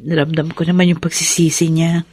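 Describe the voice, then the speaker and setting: A woman's voice: low voice, flat delivery, brisk, a little mellow, husky, no room sound. An actress with a Filipino accent playing a sorrowful, guilt-ridden young mother, plaintive, in a radio drama. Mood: subdued hurt. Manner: tearful, plaintive. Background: music.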